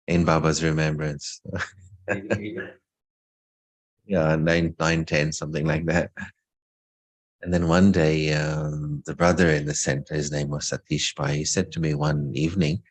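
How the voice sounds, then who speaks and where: A man's voice: rumbling low, little pitch movement, moderate pace, long pauses. A middle-aged man giving an informal spiritual talk about his own life, reflective and lightly humorous. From England. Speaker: spiritual speaker. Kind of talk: informal talk sharing personal story.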